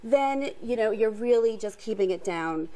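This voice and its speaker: A woman's voice: a little high, animated, moderate pace, mellow, rough recording. A woman giving practical advice as an expert guest in a live TV interview, chatty and emphatically agreeable. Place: TV studio.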